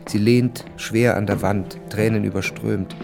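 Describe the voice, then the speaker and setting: A man's voice: fairly low pitch, steady tone, measured pace, creaky. A man narrating a radio drama in a scripted literary style. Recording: music behind the voice.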